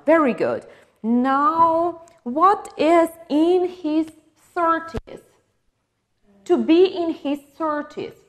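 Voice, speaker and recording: A woman with a Ukrainian accent teaching an English lesson, patiently asking questions and confirming answers. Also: sits high. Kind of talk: online English lesson for exam prep.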